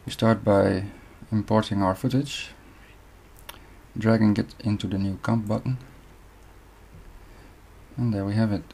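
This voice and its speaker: A young man from the Netherlands with a Dutch accent, walking through a software tutorial step by step with short pauses. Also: on the low side, steady tone, creaky, slightly rough. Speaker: video editing tutor. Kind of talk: screen-recorded software tutorial.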